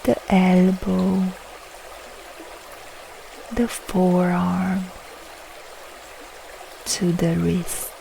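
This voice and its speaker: Female meditation guide, lowish relaxed voice, soothing phrases, light trickling noise behind. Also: gravelly. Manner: soothing, unhurried, soft short phrases with long pauses. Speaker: yoga nidra meditation guide.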